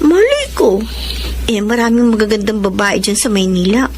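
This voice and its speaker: A woman playing a tender, devoted young student wife in a Filipino drama, speaking softly and hesitantly. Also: mid-range voice, sing-song, moderate pace, a crowd in the background, natural voice. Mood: fond, teasing. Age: young adult. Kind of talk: Filipino radio-style drama acting.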